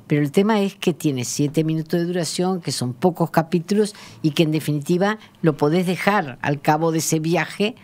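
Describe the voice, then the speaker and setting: A woman's voice: low voice, moderate pace, hardly any pauses, darkish tone. A woman, speaking as a radio interviewer in an explanatory, emphatic conversational way. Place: small radio studio. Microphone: close studio microphone.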